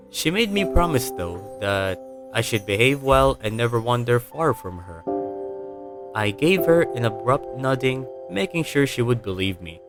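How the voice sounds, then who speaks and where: A man narrating an audiobook story in a dramatic, measured way with pauses. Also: mid-range voice. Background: music.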